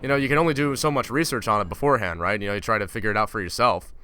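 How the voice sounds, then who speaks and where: A man's voice: lowish voice, very fast, bright, forceful tone, smooth. A man giving casual, ranting commentary as a gaming YouTuber, blunt and profane, with stumbling repeats as he talks.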